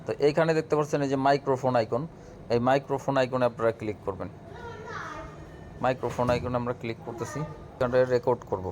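A man's voice: mid-range voice, fairly even intonation, fast talker, a little mellow. A man giving a casual step-by-step app tutorial, speaking directly to viewers in an instructional way. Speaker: tutorial host. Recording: clear background noise, room ambience.

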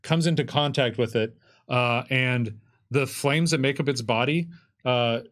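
A man in his thirties acting as game master, narrating a tabletop roleplaying game in a casual, halting way. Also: medium pitch, a bit bright. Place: indoor streaming studio with curtain backdrop. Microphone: desk microphone.

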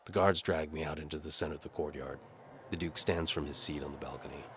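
A man's voice: a bass voice, quick pace, bright, forceful tone, slight background noise. A man reading a first-person hardboiled detective story in a wry, world-weary manner. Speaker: audiobook narrator.

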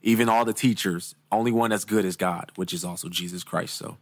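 Male reaction host, low voice, casual and agreeable, close dry mic. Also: fairly quick, no echo. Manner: relaxed, agreeing, thinks aloud with fillers. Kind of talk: casual commentary. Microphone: studio mic.

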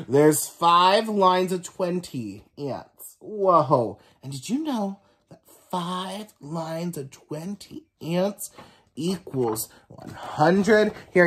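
A young man in an animated read-aloud counting lesson for small children, full of exaggerated wonder and questions. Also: on the high side, slightly rough. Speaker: teacher reading a children's book aloud. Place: small room at home, indoors.

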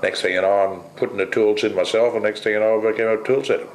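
An older man originally from the Netherlands, being interviewed at home and talking bluntly, with plenty of swearing and pauses. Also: on the low side, steady tone, reverberant. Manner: blunt, salty, rambling with many pauses. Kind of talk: casual interview. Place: kitchen at home, indoors.

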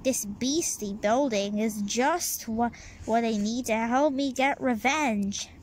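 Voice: medium pitch, slow pace, pitched below the performer's own voice, lightly acted. An amateur reader playing a gloating, revived super-villain fish from a comic book in a theatrical manner. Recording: noisy outdoor phone recording.

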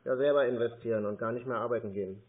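A man's voice: medium pitch, rapid-fire, a little hiss or hum. A man chatting casually on a phone call, teasing in a dry, laid-back way. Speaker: scam-baiting YouTuber on a phone call. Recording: muffled narrow-band phone call audio.

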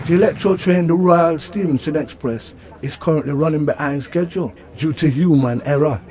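An actor playing a solemn, sardonic narrator of a science-fiction audio drama, with measured, mock-grave delivery. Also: male voice, fairly high pitch, a crowd in the background, lightly acted.

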